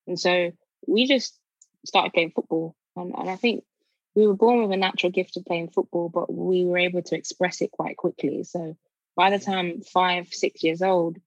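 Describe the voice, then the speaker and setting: Low, even-toned woman telling her story. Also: moderate pace, dry recording, highs cut off. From born in Nigeria, raised in Birmingham. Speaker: guest, former footballer. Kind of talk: podcast interview.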